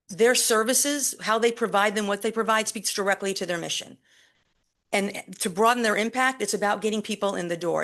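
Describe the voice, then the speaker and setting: A woman giving a loud, emphatic staff presentation at a public board meeting, speaking into a podium microphone with deliberate pauses. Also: mid-range voice. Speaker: city recreation staff presenter.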